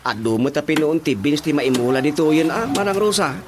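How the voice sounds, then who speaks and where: A man's voice: a little high, brisk, lightly acted. An actor playing a younger male villager in casual conversation in an Ilocano radio drama. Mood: curious. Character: younger villager chatting with an elder sister figure. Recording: music under the dialogue.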